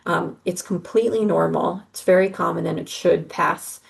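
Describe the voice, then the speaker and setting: Reassuring gravelly-voiced woman. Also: lowish voice, fairly even intonation, normal speed. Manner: earnest, reassuring, with frequent ums and uhs. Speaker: study abroad advisor. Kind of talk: informational briefing on a call. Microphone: video call.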